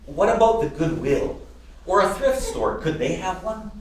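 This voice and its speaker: An actor playing an earnest, joking young husband and nephew in a radio play, with an expressive bantering manner. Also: male voice, sits high, measured pace. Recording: echoey room, distant microphone.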